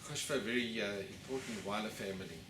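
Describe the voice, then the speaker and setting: A man's voice: fairly low pitch, quick pace, noisy background. Hesitant male host, distant and echoey. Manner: hesitant, many fillers. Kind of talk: event introduction. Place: lecture hall with live audience.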